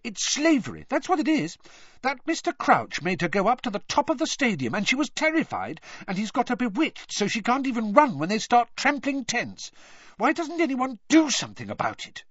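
A man voicing an earnest, bookish teenage witch who talks quickly and indignantly. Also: a little high, dry recording, dull audio, pitched above the performer's own voice, natural voice. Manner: quick, know-it-all, indignant.

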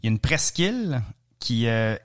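Witty Franco-Ontarian storyteller. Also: male voice, mid-range voice, sing-song, moderate pace, dry recording, clean studio sound. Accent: Franco-Ontarian French. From Eastern Ontario, Canada. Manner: witty, anecdotal, with small restarts. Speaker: guest, traveler and speaker. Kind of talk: casual storytelling.

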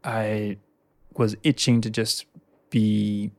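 A man's voice: lowish voice, level tone, slow. A young man in his twenties from Indonesia, a podcast guest telling his story in an easygoing, chatty way. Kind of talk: casual interview chat.

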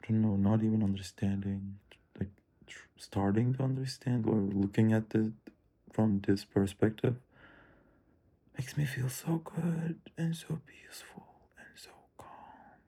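Guy rambling to himself, dark mellow voice, lotta fry, cursing and trailing off. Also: on the low side, a little slow. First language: Turkish. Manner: musing, drifting, profane, softly trailing off. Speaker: streamer. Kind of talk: rambling self-talk monologue.

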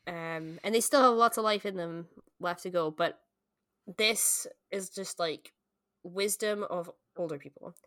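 A woman's voice: lowish voice, measured pace, bright, forceful tone, no echo, studio quality. A woman in her late twenties co-hosting a podcast, chatting casually and informally about books, peppering her talk with "like". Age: late 20s. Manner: informal, frequent "like" as filler, mild swearing. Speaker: podcast co-host. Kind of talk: casual book-club chat.

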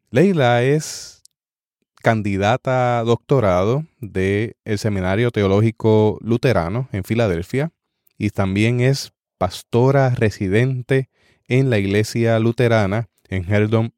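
A man hosting a podcast, calmly and formally introducing a guest speaker. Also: on the low side, no room sound. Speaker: podcast host. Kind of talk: spoken introduction. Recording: dry close recording.

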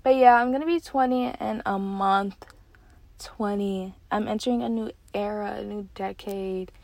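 A woman's voice: medium pitch, measured pace, vocal fry, dry recording. Chatty nineteen-year-old woman, dry sound. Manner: chatty, filler-heavy, excitable. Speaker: podcast host. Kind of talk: casual solo podcast chat. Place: parked car.

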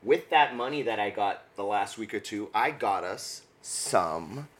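Lively, low-voiced New podcast host. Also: male voice, measured pace. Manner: animated storyteller, laughs often, gets loud when excited. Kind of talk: casual chat.

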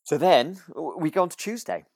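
British man podcast co-host, very lively intonation. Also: fairly high pitch, brisk, vocal fry, no room sound, studio quality. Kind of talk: casual chat, reviewing a radio drama.

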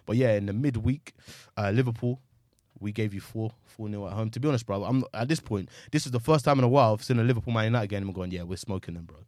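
A man's voice: fairly low pitch, fast talker, no room sound, studio quality. Slangy man hosting a football podcast. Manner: slangy, animated, says bro a lot. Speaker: podcast host. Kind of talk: casual football chat.

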